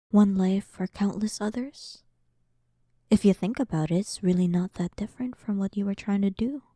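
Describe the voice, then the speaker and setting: A woman voicing a dominant, sarcastic demon queen in a roleplay audio, soft and breathy, with a teasing, condescending manner. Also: medium pitch, no room sound, studio quality, close to an everyday voice. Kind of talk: scripted roleplay audio, intimate one-sided dialogue.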